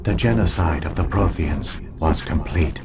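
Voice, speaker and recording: A man voicing an ancient alien artificial intelligence in a video game, solemn and weary, telling its history in grave, measured, deliberate phrases. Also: rumbling low. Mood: solemn, resigned. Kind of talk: scripted video game exposition. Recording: loud music behind the voice. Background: game music.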